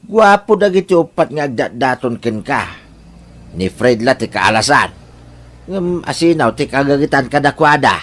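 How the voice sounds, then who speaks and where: A man's voice: medium pitch, big pitch swings, normal speed, a bit bright. A man playing a jolly, teasing old father in an Ilocano audio drama.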